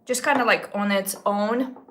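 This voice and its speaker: A woman's voice: mid-range voice, moderate pace, fairly bright tone, a little hiss or hum. A woman almost forty, chatting casually to her viewers as a beauty vlogger, gushing about products with little slips and restarts. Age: 30s. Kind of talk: casual makeup talk to viewers.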